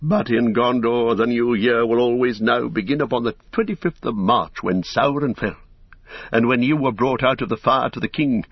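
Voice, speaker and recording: A man voicing a wise, kindly old wizard in a storybook, speaking in a measured, formal, gently ceremonious way. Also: on the low side, slightly rough, no echo, dull audio.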